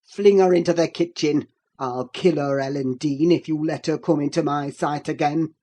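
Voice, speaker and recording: A woman's voice: deep voice, steady tone, unhurried, warm tone, voice pushed down. A woman reading aloud, voicing a furious, brooding middle-aged master of the house who threatens and gives orders. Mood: raging. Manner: harsh, threatening, commanding.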